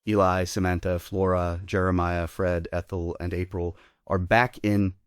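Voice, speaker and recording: A man with a deep voice reads stage directions for a play. His scripted narration is recorded dry and close. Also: flat delivery, unhurried, clean tone, no echo. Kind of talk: scripted narration at a table read.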